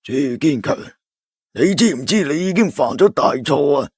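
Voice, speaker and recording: Stern old elder, husky and grave, Cantonese accent; a man plays him in a storybook reading. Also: fairly high pitch, lively intonation, normal speed, a little mellow, dry recording, clean studio sound, pitched above the performer's own voice. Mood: scolding.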